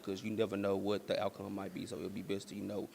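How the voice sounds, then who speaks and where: A man with an American accent gives casual interview answers in a flat, even tone. His voice is gravelly, with faint background noise on the recording. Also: low voice, fast talker. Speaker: stage actor being interviewed.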